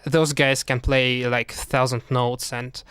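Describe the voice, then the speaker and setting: A man from Russia, co-hosting a casual podcast chat and pausing often on fillers and restarts. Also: medium pitch, flat delivery, a bit bright, clean tone, no room sound. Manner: halting, many fillers and restarts. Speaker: podcast co-host.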